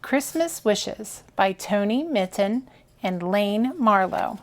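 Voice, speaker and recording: Female storytime reader, middle-pitched, slow and deliberate, dramatic children's picture-book delivery. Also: animated. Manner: deliberate, dramatic phrasing with hushed moments. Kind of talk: expressive children's picture-book read-aloud.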